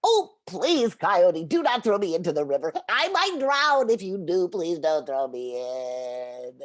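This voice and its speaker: A woman's voice: on the low side, big pitch swings, measured pace. Woman playing a wily little storybook turtle. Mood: mock pleading. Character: small, wily male river turtle in a folktale.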